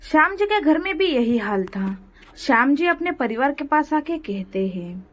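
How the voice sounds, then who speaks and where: Casting: female narrator with a high voice for scripted moral stories. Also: big pitch swings, moderate pace. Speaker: storyteller.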